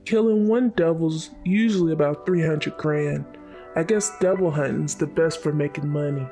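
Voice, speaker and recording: A man playing a destitute, resigned teenage devil hunter in a fan-made motion comic, with a flat, weary, blunt delivery. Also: fairly high pitch, normal speed, soft background music, lightly acted.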